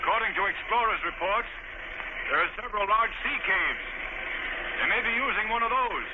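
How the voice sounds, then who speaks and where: An actor playing a resolute gentleman adventurer in an old radio drama, composed, clipped and decisive. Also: sits high, animated, a little fast. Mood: calm, resolute. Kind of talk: 1940s radio drama acting. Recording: tinny, noisy old radio broadcast.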